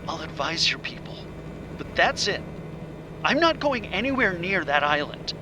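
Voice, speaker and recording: A man's voice: high-pitched, big pitch swings, moderate pace, noisy background. A man acting as a reluctant, smug anthropologist of about forty in an audio drama, testy and precise in his speech. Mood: stubborn. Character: reluctant, prickly male anthropologist around forty, smug academic.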